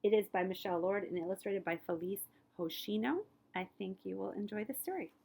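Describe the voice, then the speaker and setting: A woman reading a children's story aloud as a librarian, with expressive emphasis and phrases that often fall hushed. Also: mid-range voice, brisk, gravelly.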